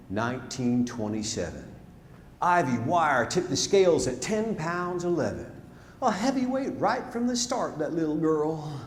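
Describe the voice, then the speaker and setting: A man recites rhyming narrative verse on stage as a storyteller, dramatic and deliberate, swelling and then hushing his phrases. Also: a little high. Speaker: storyteller performing a narrative poem. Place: large theatre, live stage performance. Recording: live theatre stage, long reverb, noisy.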